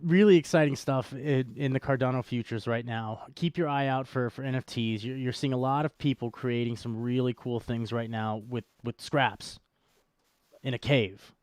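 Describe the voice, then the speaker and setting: A man's voice: medium pitch, steady tone, normal speed, a little mellow, no room sound. A man co-hosting a show with casual podcast banter and commentary, wry and joking in manner. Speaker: co-host of a cryptocurrency show.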